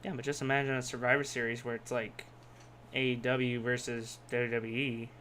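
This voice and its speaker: A man with a mid-pitched voice talks casually and bluntly on a podcast. A faint layer of background noise sits behind his voice. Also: level tone, moderate pace, fairly bright tone. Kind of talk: casual wrestling podcast chat.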